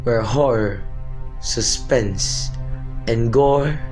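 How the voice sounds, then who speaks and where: Guy announcing a radio drama outro, slow delivery, mid voice, faint music behind. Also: medium pitch, a little mellow. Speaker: show announcer.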